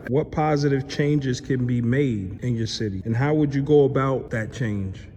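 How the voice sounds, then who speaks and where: A man's voice: medium pitch, normal speed, mellow. A man interviewing a guest, asking short, polite questions. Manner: brief, polite prompting questions. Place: large public building. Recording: hall reverb, faint ambience.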